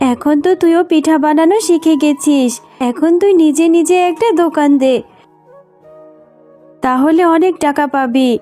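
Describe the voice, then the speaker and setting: A woman voicing a kind, motherly fox aunt who sells rice cakes, gentle and encouraging, in a children's cartoon. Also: high-pitched, close to an everyday voice.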